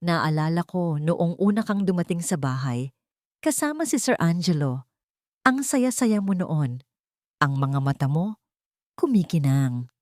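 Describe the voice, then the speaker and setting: Woman voicing a motherly, lively-toned older woman. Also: on the low side, a little slow, pauses often, dry recording, studio quality. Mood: nostalgic. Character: kindly older woman, motherly household companion.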